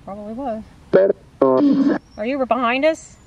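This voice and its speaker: A woman's voice: on the high side, unhurried, fairly bright tone, low-quality recording. A middle-aged woman co-hosting a ghost hunting vlog, chatting casually and putting questions to spirits outdoors. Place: outdoors in an open rural cemetery.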